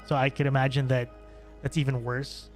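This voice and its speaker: A young man from the Philippines talks to his stream chat in a mid-pitched voice, looping back and restarting his sentences as he answers questions. Faint music plays underneath him. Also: little pitch movement, moderate pace. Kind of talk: casual gameplay stream chat.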